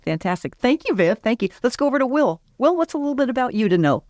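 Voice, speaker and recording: A woman's voice: on the high side, very animated, fast, a little mellow, dry recording, clean studio sound. A woman hosting a community radio interview, encouraging her guests as she asks questions. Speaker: radio host.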